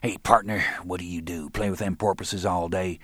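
A man's voice: low voice, fairly even intonation, normal speed, no room sound. A man reading aloud, voicing a crude, menacing male thug who sneers and taunts. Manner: crude, sneering, swings from mild to shouting.

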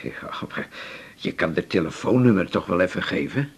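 An actor from the Netherlands playing a chatty, eager, smitten older patient in a Dutch radio drama, with a husky voice. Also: male voice, deep voice, animated, moderate pace, heavily acted. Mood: eager, coaxing. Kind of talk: naturalistic radio drama dialogue.

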